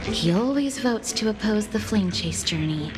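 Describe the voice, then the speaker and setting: Polite composed game girl, young woman actress playing her, normal pitch, caring, music under it. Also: expressive, normal speed, natural voice. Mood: calm, earnest. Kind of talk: video game voice acting. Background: background music.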